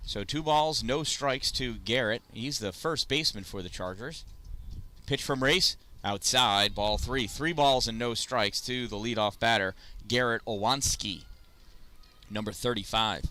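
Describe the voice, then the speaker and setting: A man calling a youth baseball game play by play, chatty and self-deprecating, with rambling asides and a loud voice. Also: medium pitch, a little slow. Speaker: play-by-play announcer. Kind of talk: casual youth baseball commentary.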